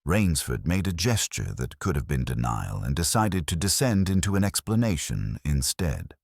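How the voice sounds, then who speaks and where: A man's voice: extremely low pitch, very animated, moderate pace, gravelly, a little mellow, no room sound, clean studio sound. A man reading scripted detective fiction as its narrator.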